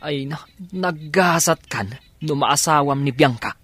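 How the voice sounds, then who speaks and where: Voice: medium pitch, unhurried, a bit bright, breathy edge. An actor playing a hesitant friend pressed about money in an Ilocano radio drama. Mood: hesitant, teasing. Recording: music bed under dialogue.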